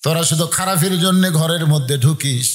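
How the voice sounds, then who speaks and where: A man with a Bangladeshi Bengali accent preaches an Islamic sermon, telling stories in an impassioned, half-chanted, colloquial way with drawn-out words and acted-out dialogue. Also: a little high, soft background music. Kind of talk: waz sermon with dramatic storytelling, half-chanted. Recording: echoing public-address sound.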